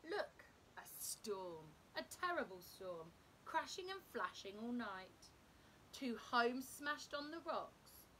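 Animated, slow-paced woman reading a storybook. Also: low-quality recording. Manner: animated, dramatic, deliberate. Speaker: teacher reading a picture book to children. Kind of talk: expressive children's storybook read-aloud. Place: indoor room at home. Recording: clear low background rumble noise.

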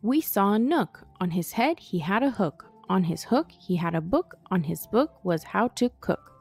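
A woman reading a rhyming children's picture book aloud in a playful, animated storytelling manner. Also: medium pitch, moderate pace, creaky, breathy edge. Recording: music behind the voice. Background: background music.

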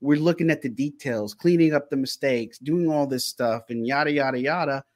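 A man's voice: medium pitch, moderate pace, no room sound, studio quality. A man co-hosting a sports podcast, talking in a frustrated, rambling way with many fillers. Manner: frustrated, rambling, heavy on fillers like "I mean" and "look". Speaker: podcast co-host. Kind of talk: sports analysis chat.

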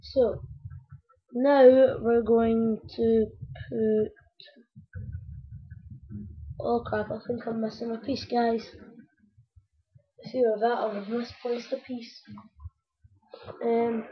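A woman with a croaky voice, rambling casually to her viewers as she builds a toy step by step. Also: on the high side, flat delivery, extremely slow, pauses often. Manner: rambling, talks to viewers while working with hands. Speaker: video maker building a toy. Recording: dull lo-fi home recording.

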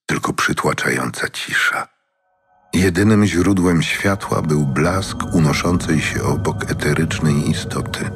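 Male narrator for fantasy audio drama, very low-pitched, music underneath. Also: a little slow, a bit bright.

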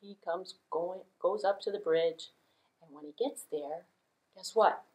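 A woman's voice: lowish voice, unhurried, darkish tone, poor audio. A middle-aged woman telling a puppet-show story, talking conversationally to children. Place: small room indoors.

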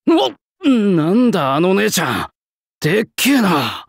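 Blunt young male craftsman for an anime game: lightish pitch, very animated, rough old-fashioned speech, dry close sound. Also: measured pace, dry recording, full character voice. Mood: startled. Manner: rough, blunt, old-fashioned working-class turns of phrase. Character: gruff, plain-spoken young male swordsmith spirit, proud craftsman. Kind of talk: anime-style mobile game character lines.

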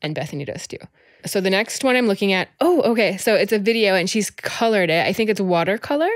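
A woman's voice: medium pitch, animated, fast talker, dry recording, studio quality. A woman in her thirties co-hosting a casual podcast, giggly and exclamatory. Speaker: podcast co-host. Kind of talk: casual chat about a short story.

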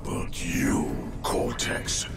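A man playing an ancient, evil mask spirit in a video game, menacing and pompous, with a booming gravelly growl. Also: whispered. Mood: furious. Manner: pompous, threatening, drawn-out grand delivery. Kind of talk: video game cutscene acting. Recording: echoing, distant, loud music behind.

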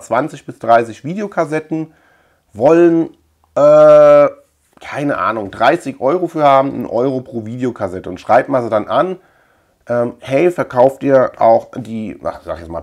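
A middle-aged man from Germany with a mid-pitched voice presents a collection in a casual show-and-tell. He talks informally and loosely, circling back and repeating words as he rambles along. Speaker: video collector and channel host. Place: indoor room lined with shelves of tapes.

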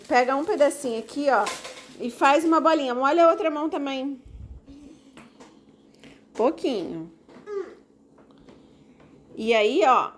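A woman's voice: a little high, a little hiss or hum. A mother in her thirties with a Brazilian Portuguese accent, patiently coaching her kids step by step through a recipe in a home kitchen. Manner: patient, coaxing, explains step by step, teasing. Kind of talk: casual family cooking video.

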